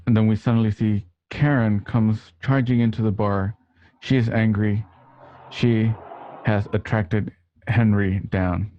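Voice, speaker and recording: A man hosting and narrating an online radio play, with a somewhat halting delivery. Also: on the low side, fairly even intonation, dull audio. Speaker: host and scene narrator. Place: home, remote online recording.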